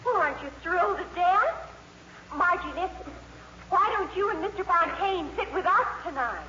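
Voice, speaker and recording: Gossipy teenage girl, high-pitched, eager, incredulous, slangy, vintage radio drama with faint hiss. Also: lively intonation, moderate pace, bright, forceful tone, reverberant, in a large hall, full character voice. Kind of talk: 1950s radio acting. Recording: muffled, noisy old radio recording.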